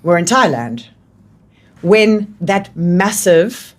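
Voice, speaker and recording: A woman's voice: lowish voice, lively intonation, very slow. Woman in casual conversation. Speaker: radio interviewer. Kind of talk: casual chat.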